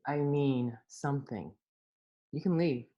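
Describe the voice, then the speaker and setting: A woman playing a dry, curt gallery owner who is coolly cutting, with a husky voice, in a comedic script read. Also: a bass voice, slow pace, mellow, highs cut off.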